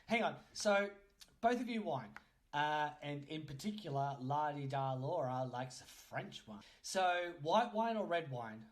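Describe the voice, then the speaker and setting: A man's voice: fairly high pitch, animated, measured pace. A man hosting a casual chat in a teasing, jokey way. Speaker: podcast host. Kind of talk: casual quick-fire interview chat.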